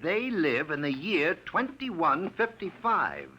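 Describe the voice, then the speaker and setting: An actor playing a smooth, menacing agent from the future who poses as a film producer, in 1950s radio style. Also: male voice, fairly high pitch, very animated, slow pace. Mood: breezy, sly. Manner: breezy patter turning to barked orders. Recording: restored 1950s radio, faint hiss.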